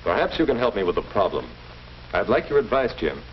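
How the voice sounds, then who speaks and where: Calm firm school principal, low voice, expressive intonation, avuncular, formal, muffled old film soundtrack. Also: male voice, fairly quick, a little hiss or hum. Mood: calm, earnest. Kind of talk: 1940s educational film acting.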